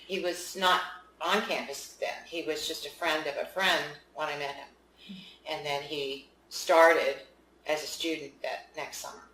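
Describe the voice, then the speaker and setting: A woman's voice: low-pitched, fairly even intonation, unhurried, fairly bright tone, slight background noise. An older woman from Virginia, chatting as an interview guest and telling stories with frequent laughs. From West Virginia. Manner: chatty storyteller, laughs often. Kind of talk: casual interview conversation. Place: studio. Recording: roomy studio sound, mic at a distance.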